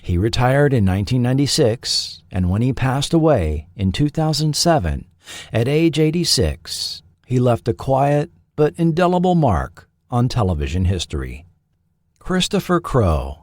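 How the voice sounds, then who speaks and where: A man hosting and narrating a scripted documentary in a measured, deliberate way, letting his phrases fall at the ends. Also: mid-range voice, dry recording, studio quality. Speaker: podcast host and narrator.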